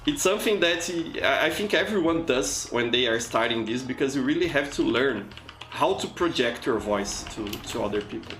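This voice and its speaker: A man's voice: mid-range voice, animated, moderate pace, fairly bright tone, slight background noise. A man from Brazil with a Brazilian accent, whose first language is Portuguese, chatting casually with viewers on a live gaming stream. He talks in a chatty, encouraging way and often repeats words as he goes. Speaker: live streamer playing a shooter game. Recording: roomy echo with game music behind.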